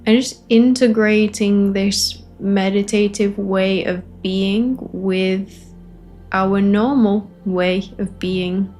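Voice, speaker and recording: Woman, meditation facilitator, slow unhurried pace, even tone, gentle pause-filled phrasing, faint music behind. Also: medium pitch. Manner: gentle invitations with long pauses between short phrases. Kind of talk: guided meditation, spoken softly to listeners.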